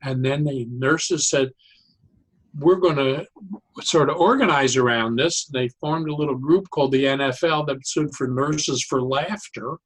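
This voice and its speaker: A man explaining ideas conversationally as an interview guest, in an animated, halting way, often repeating and interrupting himself. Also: mid-range voice, husky, poor audio. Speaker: podcast guest, psychologist turned joy advocate.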